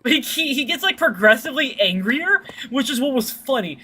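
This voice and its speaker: A woman chatting casually as a streamer, telling stories in a slangy, sweary way and acting out what people said. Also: a little fast. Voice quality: fairly deep-sounding for a woman. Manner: slangy, sweary, animated retelling with acted-out quotes. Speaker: streamer chatting with viewers while gaming. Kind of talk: casual chat, rambling storytelling.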